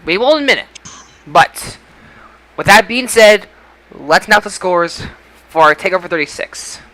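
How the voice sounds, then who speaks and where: A man's voice: sits high, expressive, a little slow, bright, forceful tone, low-quality recording. A man hosting a casual podcast discussion, slipping into an announcer-like delivery. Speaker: podcast host.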